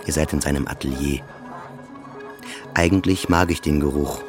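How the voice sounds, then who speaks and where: Man, very low voice, introspective radio play narration, clipped phrases, music clearly behind. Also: steady tone, moderate pace. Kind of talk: scripted first-person literary narration.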